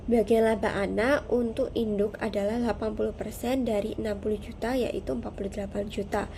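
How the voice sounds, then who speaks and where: Young woman presenting over faint noise, bright voice. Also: mid-range voice, steady tone, a little fast. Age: young adult. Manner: formal, methodical. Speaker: student presenter. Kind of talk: prepared class presentation, explaining step by step.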